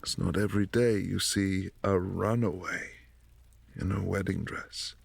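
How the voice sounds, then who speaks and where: Man voicing a vampire prince, possessive, commanding, deep gravelly voice, husky edge, ASMR roleplay. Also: steady tone, a little slow, no room sound, full character voice. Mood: cool, imperious. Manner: formal, commanding, softly menacing.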